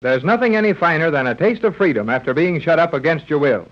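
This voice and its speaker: A male announcer with a somewhat high voice reads scripted narration in 1930s radio serial style. The vintage recording sounds dull and lo-fi. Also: expressive, quick pace.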